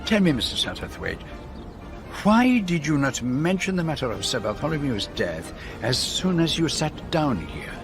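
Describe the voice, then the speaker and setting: An actor playing a courteous, wry old Belgian detective with a French-speaking accent, speaking precisely and formally in a radio drama. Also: male voice, fairly high pitch, sing-song, normal speed, over music. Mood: polite, probing. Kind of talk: radio drama dialogue and narration.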